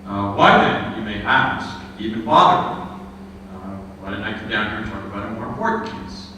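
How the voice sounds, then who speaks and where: A lower-voiced man lectures in a measured, earnest way, stopping often on filler sounds. He is picked up from a distance in a big echoing hall with a long tail of reverb. Steady noise hums behind him. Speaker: guest lecturer, historian. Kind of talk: public history lecture. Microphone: room microphone. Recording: echoey hall, distant mic, background noise.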